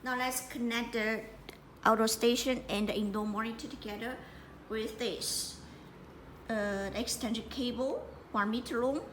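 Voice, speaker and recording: A woman giving a hands-on installation tutorial as a product presenter, speaking in halting, piecemeal phrases with repetition. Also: fairly high pitch, steady tone. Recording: echoey room with clear background noise.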